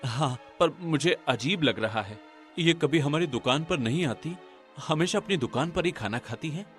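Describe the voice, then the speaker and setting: Guy playing a serious village man in a cartoon, very expressive pitch, music under it. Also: moderate pace, natural voice. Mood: puzzled.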